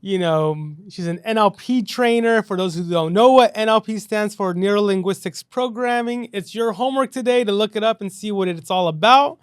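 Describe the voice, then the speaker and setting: A man's voice: high voice, moderate pace, few pauses, smooth, dry recording, clean studio sound. A man hosting a casual podcast, upbeat and loose, filling his talk with "you know" and "right". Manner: upbeat, filler-heavy, says "you know" and "right" a lot. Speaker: podcast host.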